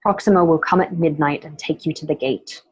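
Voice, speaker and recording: A woman plays an anxious princess of ancient Rome for an amateur radio drama. Her voice sits lowish with a rough, breathy grain, and she speaks with careful, weary tenderness. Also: a little fast, low-quality recording. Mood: urgent, secretive.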